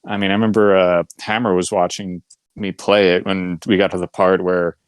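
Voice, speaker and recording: A man's voice: sits low, level tone, moderate pace, smooth, dry recording. A man co-hosting a podcast, chatting casually about video games and telling anecdotes with quoted dialogue. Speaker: podcast co-host.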